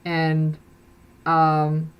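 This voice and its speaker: A woman's voice: low voice, monotone, drawn-out words, smooth, highs cut off. A young woman from South Korea, a native Korean speaker, chatting casually on a live stream from her bedroom, rambling with many fillers and restarts. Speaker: livestream host. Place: bedroom with blackout curtain.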